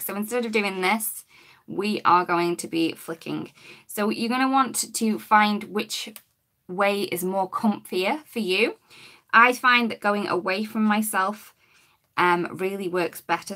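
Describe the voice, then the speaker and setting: A woman, her voice a bit croaky, demonstrating coloring with markers in a chatty live-stream lesson. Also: mid-range voice, a bit bright, slight background noise. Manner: chatty, talks while working, greets viewers. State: slightly croaky voice.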